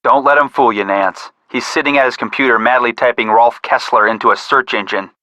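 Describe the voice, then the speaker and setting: A man playing a supportive young amateur detective, a level-headed older brother who gently teases, in a video game. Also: mid-range voice, fairly even intonation, brisk, no room sound, lightly acted. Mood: friendly, encouraging. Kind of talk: scripted game dialogue.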